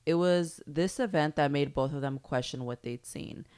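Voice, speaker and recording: Woman co-host, Spanish first language, deep voice, casual reader who trips over words and swears. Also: moderate pace, darkish tone, clean tone. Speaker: podcast co-host. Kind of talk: reading a story aloud, then casual chat.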